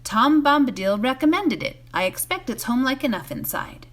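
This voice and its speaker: A woman's voice: high-pitched, expressive, moderate pace, voice pushed up. Woman as a polite, guarded hobbit, lifted voice. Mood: reassuring. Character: polite, guarded young male hobbit traveller. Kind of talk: storybook character voice in a read-aloud.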